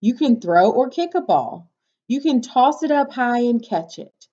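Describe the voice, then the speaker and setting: Slow, animated woman teacher reading aloud. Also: a little high. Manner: instructive, prompting with questions. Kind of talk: picture-book read-aloud with questions to children. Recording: dull, lo-fi sound.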